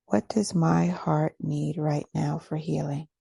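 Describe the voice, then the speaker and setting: Woman doing a guided meditation, really mellow n dark voice, bit of creak, slow and gentle, breathy. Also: low voice, flat delivery, no echo, studio quality. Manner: slow, gentle, deliberate, with long pauses. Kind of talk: guided meditation, soft and soothing.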